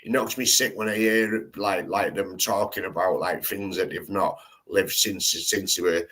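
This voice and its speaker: A man's voice: low voice, fairly even intonation, measured pace, a bit bright. A man hosting a live stream, chatting casually in slangy, rambling talk full of restarts.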